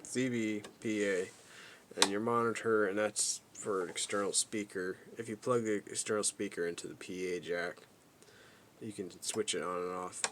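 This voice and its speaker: Male hobbyist reviewer, lowish pitch, low-key and halting, rambling off the cuff, faint noise behind. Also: fairly even intonation, a bit bright. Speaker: radio reviewer, video host. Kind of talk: casual unscripted product walkthrough.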